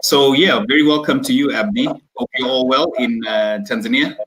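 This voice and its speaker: A man's voice: mid-range voice, moderate pace, fairly bright tone, rough recording. Upbeat man hosting a video-call interview. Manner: upbeat, chatty, filler-heavy. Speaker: host. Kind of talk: hosting an online executive interview.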